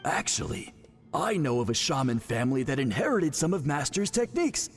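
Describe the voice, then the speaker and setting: A loyal young shaman disciple in a video game. His voice is fairly high and full of inflection. He sounds frank, earnest and slightly defensive, over faint music. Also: big pitch swings, brisk. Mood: earnest, explanatory. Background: background music.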